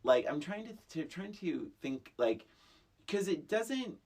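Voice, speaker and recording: A middle-aged woman talks as a podcast co-host in a low, husky voice. Her delivery is animated and emphatic, with words repeated for effect, in loose, comedic conversation. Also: a little slow. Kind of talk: casual comedic movie-discussion chat.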